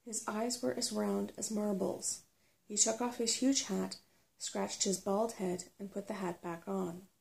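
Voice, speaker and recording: A soft-spoken woman reading a children's fantasy story aloud in an unhurried ASMR style. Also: medium pitch, steady tone, gravelly, low-quality recording. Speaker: storybook reader.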